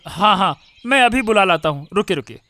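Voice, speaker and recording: A man voicing a brisk, insistent village man in a cartoon story. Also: sits high, expressive, sharp tone, no room sound, full character voice. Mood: urgent. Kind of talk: Hindi cartoon moral story dialogue.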